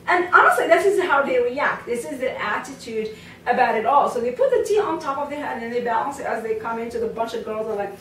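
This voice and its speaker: Moroccan-raised woman, dance teacher, chatty and rambling; slightly high voice, mic set back in an echoey room. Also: lively intonation, quick pace, a bit bright, slight background noise. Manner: chatty, many fillers and asides. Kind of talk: casual talk to camera. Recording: roomy sound from a distant mic.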